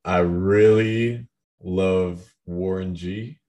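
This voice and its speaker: Young man, very low deep voice, loose rambling chat, heavy fillers. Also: steady tone, extremely slow, warm tone. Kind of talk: casual chat about music.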